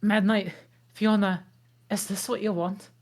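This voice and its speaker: A woman reading the part of a gruff but tender male ogre, soft-spoken, in an amateur table read. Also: medium pitch, little pitch movement, unhurried.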